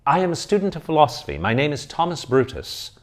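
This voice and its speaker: A man voicing a nervous, excitable young male student of philosophy who protests in flustered exclamations. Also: on the high side, fast, pitched above the performer's own voice. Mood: pleading.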